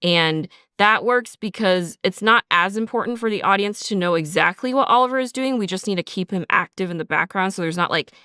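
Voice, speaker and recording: A woman's voice: mid-range voice, fairly quick, hardly any pauses, bright, forceful tone, clean tone, no echo, studio quality. A woman podcast host talking casually about her craft, peppering her sentences with "like". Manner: informal, frequent "like" as filler. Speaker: podcast host and audio drama sound designer. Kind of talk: casual behind-the-scenes podcast chat.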